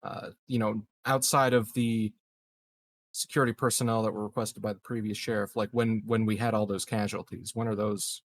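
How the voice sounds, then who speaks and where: A man playing a tabletop game, casually asking questions and piecing them together haltingly. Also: lowish voice, level tone.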